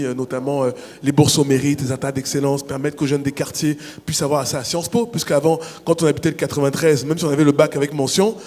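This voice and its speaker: A man from Cameroon, an author on a public panel, answering questions in a measured, explanatory way with frequent restarts. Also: fairly high pitch, darkish tone. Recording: long reverb from a large hall.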